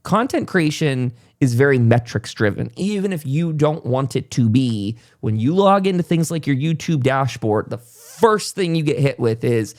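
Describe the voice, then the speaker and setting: Man, podcast host, medium pitch, casual interview chat, talkative, reflective. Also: expressive, moderate pace, hardly any pauses.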